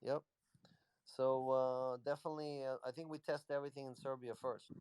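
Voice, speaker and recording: A man's voice: medium pitch, little pitch movement, moderate pace, a little mellow, smooth, no echo, rough recording. A man, a company executive, casually answering questions on a live audio chat in a loose, rambling way. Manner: rambling, loose, lots of "you know".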